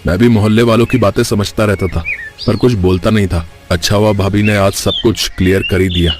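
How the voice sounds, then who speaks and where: A man's voice: fairly low pitch, steady tone, a little fast, faint music behind, lightly acted. A man playing a devoted young brother-in-law in a cartoon story, eager and respectful. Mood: earnest. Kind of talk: cartoon story character acting.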